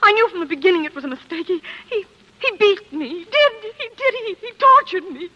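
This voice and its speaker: Bright-voiced, nervous wife in old radio melodrama. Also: female voice, very high-pitched, big pitch swings, heavily acted. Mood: bitter, distraught. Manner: breathless, halting, repeats words. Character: desperate young wife secretly hunting a killer, tender, nervous. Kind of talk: 1940s radio melodrama acting. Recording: dull, noisy 1940s radio recording.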